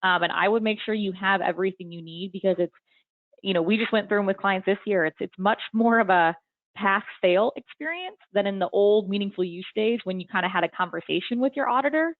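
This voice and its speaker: Casting: young woman, webinar presenter, gravelly fry, dry phone-like sound, chatty filler-heavy delivery. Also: mid-range voice, fairly quick, no room sound. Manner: friendly, frequent ums and you-knows. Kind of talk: casual webinar explanation. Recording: tinny phone-like webinar audio.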